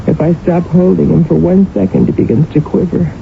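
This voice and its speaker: An actress in a radio drama playing a guilt-ridden, grieving wife who is a stage comedienne, with a wry, brittle delivery. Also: female voice, fairly low pitch, fairly even intonation, fairly quick, vocal fry. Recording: muffled, noisy old radio broadcast.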